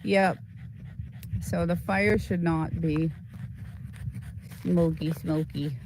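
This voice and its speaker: Slow-talking man chatting outdoors. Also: on the high side, steady tone, a little mellow, a little hiss or hum, poor audio. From Boston. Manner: chatty, talks fondly to his dogs and reads out chat. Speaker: livestream host. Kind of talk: casual livestream chat. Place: outdoors on desert property.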